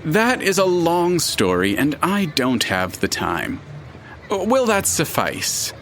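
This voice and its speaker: Slow, swooping, detached formal narrator. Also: male voice, on the high side, wildly swooping pitch, a bit bright, a little hiss or hum, full character voice. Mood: cool, formal. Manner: deliberate, formal, matter-of-fact. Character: dead man narrator, detached, formal, speaks of himself in third person. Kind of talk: audio drama acting.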